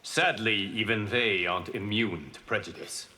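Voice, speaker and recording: A deep-toned, solemn god and mentor in a fighting game. He speaks in formal, measured lines with grave calm. Faint ambient noise sits behind the voice. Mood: measured, instructive. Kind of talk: video game voice acting, formal pre-fight banter.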